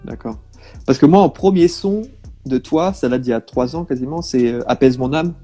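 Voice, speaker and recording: A man's voice: mid-range voice, moderate pace, warm tone, faint music behind. A man hosting a casual live stream, greeting viewers by name and asking his guest questions.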